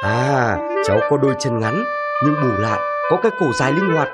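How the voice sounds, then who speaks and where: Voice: medium pitch, animated, a little fast, natural voice. An actor voicing a kindly, fatherly adult bird in a Vietnamese cartoon, patient and encouraging as a teacher. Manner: gentle, instructive, encouraging. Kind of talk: Vietnamese animated film dialogue. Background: music.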